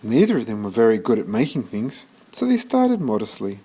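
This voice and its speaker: A man reading a children's story aloud in an expressive storytelling manner, occasionally stumbling and restarting. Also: on the low side, a little fast, husky. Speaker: storybook reader.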